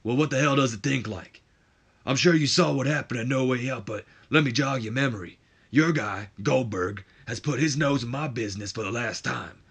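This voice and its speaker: A man acting out an angry, blunt tough-guy wrestler in a gruff, confrontational promo style. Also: medium pitch, moderate pace, a bit bright. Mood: angry, defiant.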